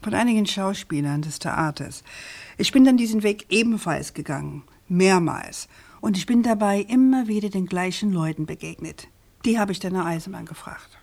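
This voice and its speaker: An actress playing a probing, composed woman journalist in a German radio crime drama, speaking in a measured, articulate and insistent way. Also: medium pitch, animated, darkish tone. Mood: probing, factual. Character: persistent, probing female journalist, composed and precise.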